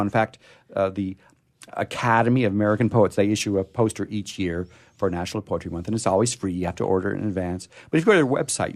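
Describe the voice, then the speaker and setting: A man hosting a radio show in a casual, chatty, teasing way. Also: fairly low pitch. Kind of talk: casual bantering radio talk.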